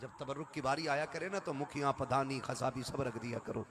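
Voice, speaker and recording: A man preaches an Islamic sermon to a live gathering. His voice sits at a middle pitch. He talks conversationally, slips into devotional verse and urges his listeners to respond. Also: fairly quick, background noise.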